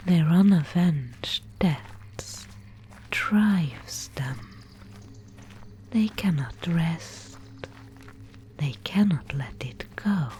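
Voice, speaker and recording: A woman playing a mysterious, solemn guide of a gloomy fantasy realm in an ASMR roleplay, whispering breathily and close to the microphone with deliberate pauses. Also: deep voice, expressive, slight background noise, heavily acted. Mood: hushed, solemn. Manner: slow, hushed, solemn storytelling with deliberate pauses.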